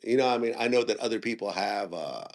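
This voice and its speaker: Low-voiced man chatting with many restarts. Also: fast talker. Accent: Northern Californian. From Ukraine. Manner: rambling, with many restarts and fillers. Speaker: guest interviewer and media host. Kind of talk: casual audio chat room conversation.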